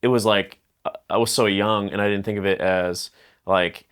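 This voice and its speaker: A man's voice: lowish voice, fairly even intonation, normal speed, fairly bright tone, smooth. A man in his thirties chatting casually as a podcast guest, loose and profane, often breaking off and restarting. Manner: loose, profane, self-interrupting.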